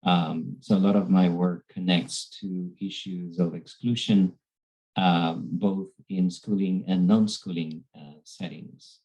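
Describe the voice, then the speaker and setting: A man's voice: deep voice, fairly even intonation, slow, a little mellow, low-quality recording. A middle-aged man, introducing himself formally and hesitantly as a panelist on a video call. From Venezuela. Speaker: panelist, scholar.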